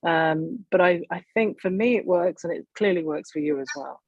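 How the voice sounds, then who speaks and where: A woman's voice: fairly low pitch, expressive, moderate pace, creaky, husky, dry recording, muffled recording. A Kenyan-born woman with an English accent, talking candidly and thoughtfully in a panel conversation over a video call, often laughing. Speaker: panel speaker. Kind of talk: reflective conversation.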